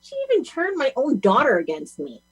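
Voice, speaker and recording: Woman plays aggrieved goth mom in audio drama: fairly high, swooping, sarcastic. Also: huge pitch swings, fairly quick, slightly rough, exaggerated voice, low-quality recording. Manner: complaining, sarcastic. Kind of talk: amateur audio play acting.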